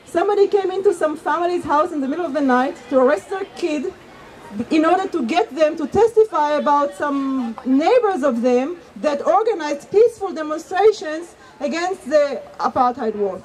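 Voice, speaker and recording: A woman's voice: sits high, lively intonation, normal speed, darkish tone, a faint crowd, low-quality recording. A woman from Israel, a Hebrew speaker, speaking earnestly and emphatically as an activist in an outdoor street debate. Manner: earnest, emphatic, repeats points for weight. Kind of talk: impassioned street debate speech.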